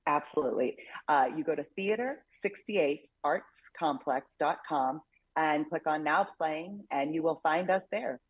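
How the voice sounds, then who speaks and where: Chatty lady interview guest, deep raspy voice, excited about her stuff, dry sound. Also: unhurried, no room sound, narrow, tinny audio. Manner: chatty, enthusiastic. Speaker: guest actress and producer. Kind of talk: casual interview chat.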